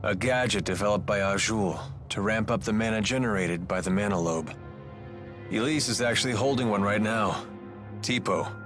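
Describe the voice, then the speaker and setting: A man's voice: on the low side, moderate pace, close to an everyday voice. A man playing a cocky, wry young mercenary in a game, casual and nonchalant. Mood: sardonic. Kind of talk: English game dub acting. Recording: loud game music behind.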